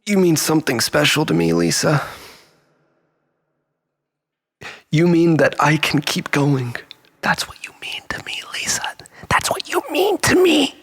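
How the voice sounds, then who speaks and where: Man acting a husky, volatile, obsessive jilted lover. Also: on the high side, very animated, a bit bright, full character voice. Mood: wounded, desperate. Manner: volatile, halting, swinging between breathy whispers and outbursts. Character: obsessive, bitter, unhinged jilted man ranting at his lover. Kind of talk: dramatic first-person monologue.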